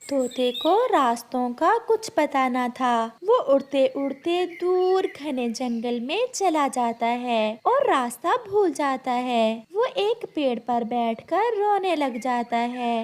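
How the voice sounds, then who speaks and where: A woman's voice: sits high, animated, slow, hardly any pauses, a little hiss or hum. A woman reading scripted children's animal stories as a narrator in a storybook style.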